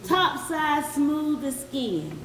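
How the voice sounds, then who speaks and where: A woman giving a solemn, deliberate poetry recitation as a stage performer. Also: high voice, very animated. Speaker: readers theatre performer. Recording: echoey, distant mic, faint noise.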